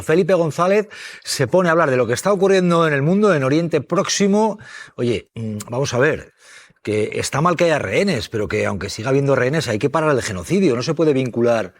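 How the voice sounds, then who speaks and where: A man moderates a political TV debate. He puts wry, probing questions to his guests in a mid-range voice. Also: medium pitch. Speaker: host.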